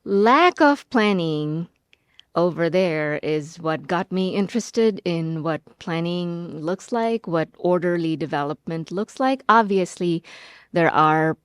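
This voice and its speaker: A woman's voice: fairly low pitch, animated, slow pace, smooth, no room sound, clean studio sound. A woman from Bangladesh, a city planning director as a podcast guest, speaking earnestly and reflectively with searching restarts. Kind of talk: podcast interview.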